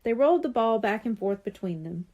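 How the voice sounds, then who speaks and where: A woman's voice: a little high, lively intonation, fairly quick, mellow. A woman narrating a scripted children's audiobook.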